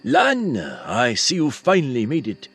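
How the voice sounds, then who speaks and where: A scornful older brother from a fantasy tale, as acted out by a male narrator. The voice is husky and swings dramatically up and down as it taunts and talks down. Soft music plays underneath. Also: medium pitch, wildly swooping pitch, measured pace, heavily acted. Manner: taunting, condescending. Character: sneering, bullying older half-brother in a fantasy tribe. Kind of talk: fantasy audiobook character voice. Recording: music behind the voice.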